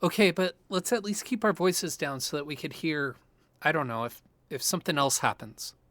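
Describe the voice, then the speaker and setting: A man's voice: fairly high pitch, normal speed, a bit bright, no echo, lightly acted. A young man acting as a cautious, nervy gamer in a naturalistic audio drama. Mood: uneasy.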